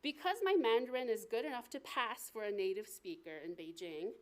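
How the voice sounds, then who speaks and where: An American woman reading aloud from her memoir as its author, in an expressive, deliberate storytelling manner at a live event. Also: a little high. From United States. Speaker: author reading from own book.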